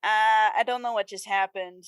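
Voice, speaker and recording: A woman's voice: medium pitch, fairly even intonation, moderate pace, a bit bright, clear, resonant tone, dry recording. A woman co-hosting a casual fan podcast, talking conversationally and acting out imagined quotes. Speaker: podcast co-host.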